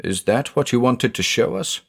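A man playing an earnest, nervously brave investigator in an audio story, grave and measured. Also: mid-range voice, husky, voice pushed up. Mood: apprehensive. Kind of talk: audio story character acting.

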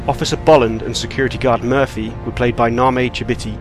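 A man delivers scripted end credits as an announcer. His pitch is average for a man. Music plays softly behind him. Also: fairly even intonation, brisk. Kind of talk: scripted narration, reading credits. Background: faint music.

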